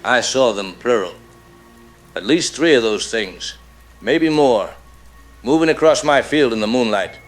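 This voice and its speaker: Man playing rural rancher caller, shaken, grave storytelling, mid-pitched, radio drama, music underneath. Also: animated, measured pace, pauses often, lightly acted. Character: shaken rural American cattle rancher, male witness.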